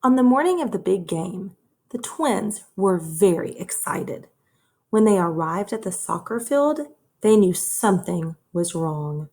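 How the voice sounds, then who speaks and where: A woman reading a children's story aloud in a measured, expressive way, as a teacher would for young listeners. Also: mid-range voice. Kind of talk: children's read-aloud narration.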